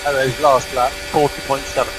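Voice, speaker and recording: Loud engine and tool noise surrounds this male race engineer on team radio. His voice sits at a medium pitch, and he delivers short procedural calls, often repeating them, in a matter-of-fact way. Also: flat delivery, moderate pace. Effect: team radio transmission.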